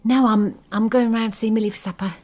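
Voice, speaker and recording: A woman's voice: on the high side, steady tone, fast talker, a little mellow, a little hiss or hum, natural voice. A woman playing an anxious, hesitant, unhappy wife in a radio drama. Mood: evasive, nervous. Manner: hesitant, pleading. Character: anxious, unhappy young wife, tender and evasive.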